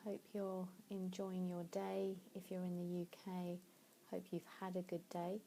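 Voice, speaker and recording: Yoga lady doing a sleep meditation, soft mellow tone w some creaky fry, takes her time. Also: fairly low pitch, completely flat delivery, a little hiss or hum. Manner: soothing, unhurried, pausing between phrases. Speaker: yoga nidra meditation teacher.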